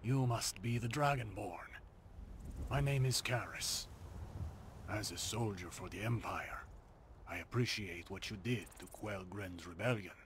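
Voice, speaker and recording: A man's voice: on the low side, measured pace, slight background noise. A man playing a sober, dutiful older soldier in a video game, speaking formally and with measure. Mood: grave, reflective. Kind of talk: video game character dialogue.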